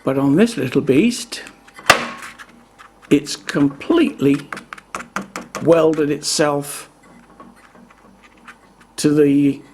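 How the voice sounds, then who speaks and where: A man giving an unscripted, methodical hands-on explanation in a measured way, as the presenter of a repair video. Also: fairly high pitch, expressive, background noise.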